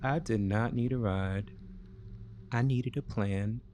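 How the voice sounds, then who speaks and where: A man narrating a scripted thriller story in short, deliberate, measured phrases. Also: mid-range voice, mellow, a little hiss or hum.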